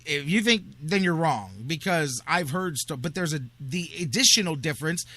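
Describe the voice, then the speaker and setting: This is a man hosting sports talk with a bright, punchy edge to his voice. He sits somewhat higher than most men. His delivery is opinionated and emphatic, circling back on phrases to drive them home. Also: expressive, moderate pace. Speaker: sports radio host.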